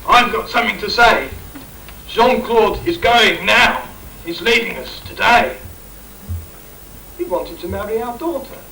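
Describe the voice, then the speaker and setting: A man's voice: sits high, a little slow, long pauses, pushed and bright, full character voice. A man playing an indignant, blustering father in an amateur rhyming pantomime, declaiming his lines on stage. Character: indignant, blustering father forbidding his young daughter's marriage. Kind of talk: amateur Christmas pantomime acting in rhyme. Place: live staff pantomime in a hall. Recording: distant, echoey, noisy amateur stage recording with audience.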